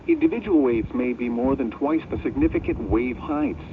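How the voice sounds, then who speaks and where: A synthetic American man's voice reads a scripted weather bulletin over the radio in measured, impersonal, clipped phrases. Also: mid-range voice, wildly swooping pitch. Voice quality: synthetic text-to-speech voice. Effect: weather radio broadcast. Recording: muffled weather radio, clear background noise.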